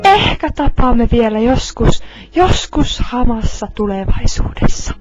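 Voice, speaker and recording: A woman's voice: a little high, animated, dull audio, music in the background, natural voice. A woman voicing an anguished anime heroine in a melodramatic, pleading amateur fan dub. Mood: wistful farewell. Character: anguished anime heroine fighting off inner evil.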